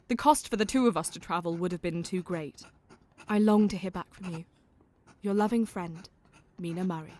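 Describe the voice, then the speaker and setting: An actress playing an affectionate young Victorian woman who reads her own letter in a gentle, genteel way. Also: fairly low pitch, lightly acted. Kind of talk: audio drama letter reading.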